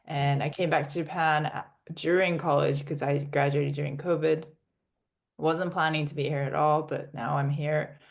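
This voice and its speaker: A woman in her twenties with a mixed international English accent, a startup founder telling her story as a podcast guest in a fluent, steady way. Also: low voice, moderate pace, gravelly. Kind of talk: conversational interview answers.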